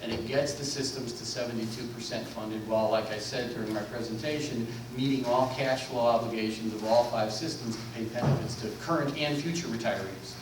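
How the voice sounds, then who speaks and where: Male panelist, mid-pitched, firm and explanatory; distant, roomy, noisy recording. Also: fairly quick, few pauses. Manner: emphatic, explanatory, numbers-heavy. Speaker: panelist and policy expert. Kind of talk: public forum panel talk. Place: large echoey meeting room. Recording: echoey, distant room recording with noise.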